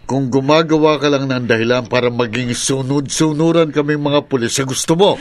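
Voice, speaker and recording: An actor playing a doubting, brusque police sergeant. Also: male voice, medium pitch, steady tone, a little fast, natural voice. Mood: skeptical.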